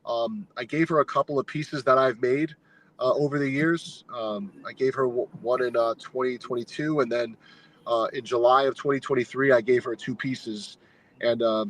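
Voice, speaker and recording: A man as a podcast guest, telling a long fan story in a casual, animated, rambling way with lots of filler words. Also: mid-range voice, normal speed, low-quality recording. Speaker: guest, fan sign maker.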